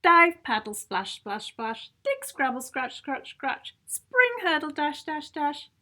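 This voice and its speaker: Slow, high-voiced woman reading a storybook. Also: big pitch swings, pushed and bright. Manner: theatrical, playful with repeated sound words and stressed adjectives. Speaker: children's book reader. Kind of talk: expressive storybook read-aloud for young children.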